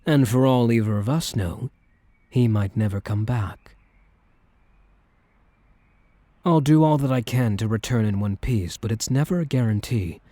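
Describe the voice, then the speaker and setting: A soft, dark-toned young crime boss acted by a man, talking tenderly to a partner in a boyfriend roleplay. His delivery is measured and protective, recorded very dry and intimate. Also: medium pitch, no echo, lightly acted. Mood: wistful, sincere. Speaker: roleplay voice performer. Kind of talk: boyfriend ASMR audio roleplay.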